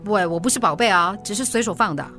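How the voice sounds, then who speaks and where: A woman playing a feisty, stubborn, middle-aged mother in a radio drama, with a Singaporean accent and a brisk, defensive, colloquial way of talking. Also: medium pitch, sharp tone, faint music behind. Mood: teasing, dismissive. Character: feisty forgetful mother, stubborn, brushing off worry.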